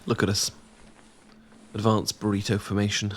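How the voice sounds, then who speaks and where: A young British man in an intimate boyfriend roleplay, talking casually to the listener in a teasing, affectionate, sometimes halting and bashful way. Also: fairly low pitch, monotone, slight background noise. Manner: gently teasing, playful, affectionate. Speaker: audio roleplay performer.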